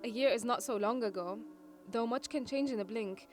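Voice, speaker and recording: Actress playing a high-voiced, pleading refugee woman. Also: normal speed, natural voice. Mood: imploring. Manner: urgent, pleading. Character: desperate young refugee woman pleading for shelter. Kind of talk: audio drama acting. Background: music behind the voice.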